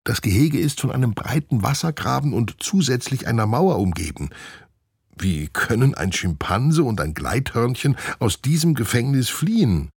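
A man's voice: medium pitch, very animated, moderate pace, a little mellow, breathy edge, no room sound, studio quality, pitched above the performer's own voice. A man voicing a schoolgirl changed into a chimpanzee, asking surprised, sensible questions. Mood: puzzled, thoughtful. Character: schoolgirl turned into a chimpanzee, startled and practical.

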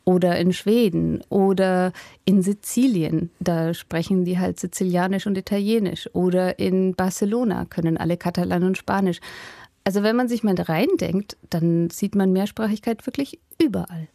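A woman's voice: fairly low pitch, moderate pace, vocal fry, warm tone, no room sound, studio quality. A woman, a linguistics professor explaining her field in an interview with everyday examples and easy laughter. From Upper Franconia, Germany. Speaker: linguistics professor and author, guest.

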